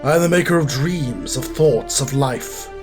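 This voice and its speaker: An actor plays a wise, gentle, ancient maker of life. He speaks in a solemn, measured way at a middle pitch. Soft music plays behind him. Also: natural voice. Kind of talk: audio drama acting.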